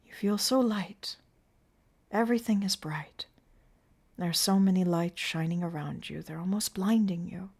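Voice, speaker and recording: A woman's voice: fairly low pitch, a little slow, vocal fry, husky, exaggerated voice. A woman reading as a grieving, tender clown. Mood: soothing. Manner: anguished, then hushed and soothing.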